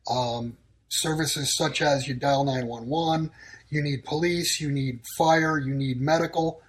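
Blunt, higher-pitched man giving warnings. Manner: blunt, declarative warnings in short sentences. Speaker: guest. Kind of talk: casual talk-show answers. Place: in Texas.

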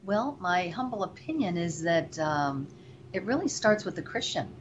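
An earnest woman from Venezuela with a lowish voice rambles through her answers, full of fillers. The recording is dull and lo-fi. Faint noise sits beneath it. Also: lively intonation, moderate pace. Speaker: guest. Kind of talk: interview.

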